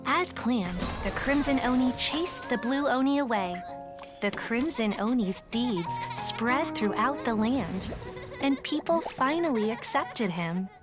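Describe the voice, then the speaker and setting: A woman playing a calm, shrewd young woman in a video game, speaking in a measured, matter-of-fact, dry way. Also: on the high side. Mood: composed. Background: game music.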